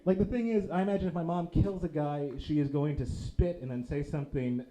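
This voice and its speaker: A man's voice: a little fast, crowd noise, rough recording. A man performing a stand-up comedy set in a small theater, self-deprecating, with a handheld mic and the audience laughing between jokes. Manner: self-deprecating, lively delivery with drops into low deadpan for punchlines. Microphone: handheld microphone. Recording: live club recording with audible background noise.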